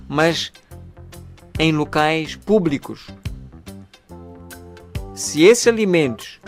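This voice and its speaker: Slow-talking man explaining health matters. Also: fairly high pitch. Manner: didactic, pausing, seeks agreement with tag questions. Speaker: doctor, health expert.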